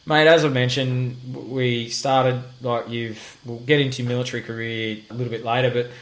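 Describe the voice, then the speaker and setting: Male interviewer, mid pitch, relaxed matey delivery, frequent restarts. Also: rough recording. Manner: matey and halting, says "mate" often. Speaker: podcast host. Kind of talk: casual interview. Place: guest's house.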